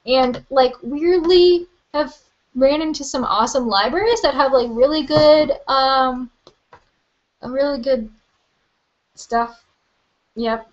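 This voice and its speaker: Young woman chatting on a live stream, kinda high voice, a bit hoarse, lots of likes and ums, muffled webcam sound. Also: takes it slowly, long pauses. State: slightly hoarse, losing her voice. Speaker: book vlogger.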